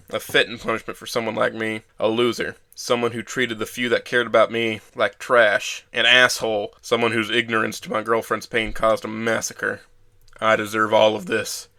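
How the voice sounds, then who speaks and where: An actor playing a bitter, self-loathing young trauma survivor, narrating an audio drama in the first person with a brooding manner. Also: male voice, fairly low pitch, completely flat delivery, normal speed, creaky, bright, forceful tone.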